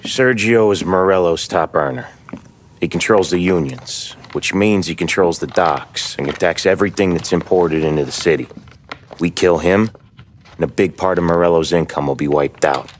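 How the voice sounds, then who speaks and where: An actor playing a loyal, matter-of-fact mob lieutenant in a crime video game. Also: male voice, low-pitched, moderate pace, a little hiss or hum, close to an everyday voice. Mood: businesslike. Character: gruff, loyal mob lieutenant briefing his boss's crew. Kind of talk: cinematic video game acting.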